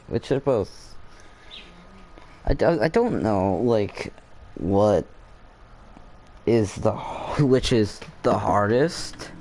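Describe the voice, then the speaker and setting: A man giving casual gameplay commentary, halting and rambling as he thinks aloud. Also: lowish voice, warm tone. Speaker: gaming streamer.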